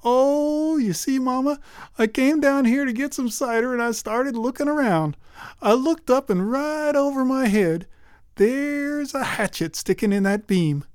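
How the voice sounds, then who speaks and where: A storyteller voicing a silly, fretful young country woman who wails over imagined dangers. The voice rises high when the worries pile up. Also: a little mellow, clear, resonant tone, dry recording, clean studio sound, natural voice.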